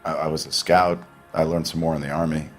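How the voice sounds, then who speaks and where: Anxious working man, crime game suspect, low voice, defensive, halting delivery, faint music underneath. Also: little pitch movement. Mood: uneasy. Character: anxious, defensive working-class mechanic, male murder suspect. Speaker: video game voice actor. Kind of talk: dramatic interrogation acting.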